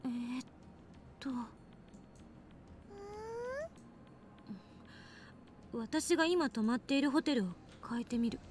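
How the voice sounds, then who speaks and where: A sharp-tongued young woman scientist from an anime visual novel , acted by a woman. Her voice is high and very fast, with a snappy, argumentative edge and faint noise in the game audio. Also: close to an everyday voice. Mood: questioning.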